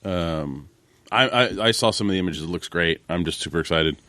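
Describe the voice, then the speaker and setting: A man co-hosting a casual podcast, informal and a little halting in how he phrases things. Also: deep voice, pushed and bright, no room sound. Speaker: podcast co-host. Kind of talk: casual chat.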